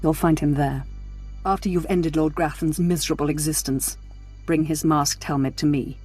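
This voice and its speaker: A woman's voice: low-pitched, steady tone, moderate pace, darkish tone, breathy edge, faint music behind. A woman voicing a scheming, power-hungry Sith noblewoman in a video game, formal, silky and imperious. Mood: sly, vengeful.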